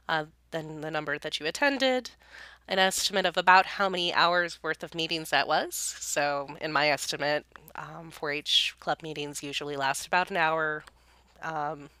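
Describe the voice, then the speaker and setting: Young woman with a low, crisp voice and a stuffy nose; casual, friendly screen tutorial. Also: bright, forceful tone, dry recording. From California, US. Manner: friendly and chatty, many ums and uhs, small laughs. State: congested, sniffly. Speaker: county youth program staff.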